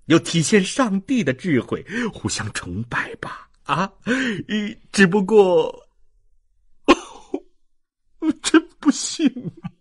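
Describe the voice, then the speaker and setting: An excitable, doting old grandfather from a classic novel. His high, husky voice swoops dramatically as he gushes and sighs in slow, drawn-out phrases. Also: dry recording, clean studio sound, full character voice. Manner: effusive, dramatic sighs and exclamations.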